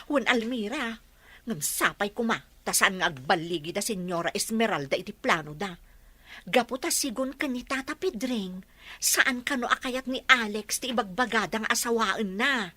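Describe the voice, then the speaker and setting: A woman playing an excitable young village gossip, chatty and theatrical, in a comedy radio drama. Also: a little high, moderate pace, no echo. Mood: gossipy, excited.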